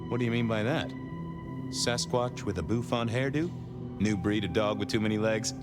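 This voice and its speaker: Low, wry noir detective voiced by a man. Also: moderate pace, loud music behind the voice, natural voice. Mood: sardonic. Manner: laconic, wry, colloquial. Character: wry, folksy noir police detective with dry humour. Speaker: detective, narrator. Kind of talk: hardboiled noir game narration and dialogue.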